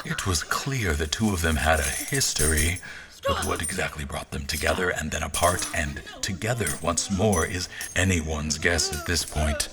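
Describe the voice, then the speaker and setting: A man's voice: extremely low pitch, moderate pace. A man playing a haughty royal officer, narrating an audio drama in a dry, formal manner. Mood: astonished, wry. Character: haughty, observant male royal officer recounting events. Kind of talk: scripted first-person narration.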